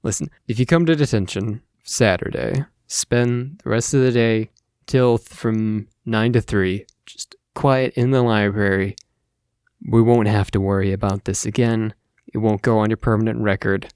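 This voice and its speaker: A man voicing a female school principal, patient but firm, measured and gently admonishing. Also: lowish voice, steady tone, clear, resonant tone, dry recording, studio quality, voice pushed down, natural voice.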